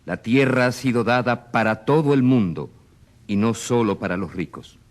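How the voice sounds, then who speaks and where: An actor playing a venerable church authority, reciting gravely and formally in a radio drama. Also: male voice, medium pitch, moderate pace, lightly acted.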